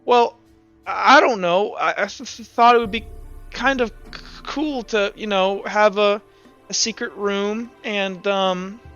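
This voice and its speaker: A man voicing a nervous, emotional young fellow who talks in a halting, apologetic stammer. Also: high voice, fairly even intonation, a bit bright, clear, resonant tone, muffled recording, soft background music, pitched above the performer's own voice. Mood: sheepish, flustered.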